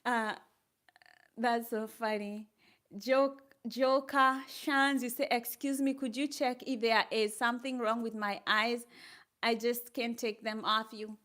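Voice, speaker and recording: An older woman with a Kenyan accent talks live to her viewers in a fairly high voice. She chats casually, reads out their comments and laughs easily, upbeat and encouraging throughout. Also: steady tone, slow, fairly bright tone. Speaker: livestreamer.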